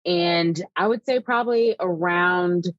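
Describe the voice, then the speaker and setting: A woman's voice: on the low side, measured pace, no room sound, muffled recording. A woman in her thirties, a podcast guest, telling her story in a chatty way with lots of "like" and "um". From United States. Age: 30s. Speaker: guest, wine writer. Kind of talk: casual podcast interview.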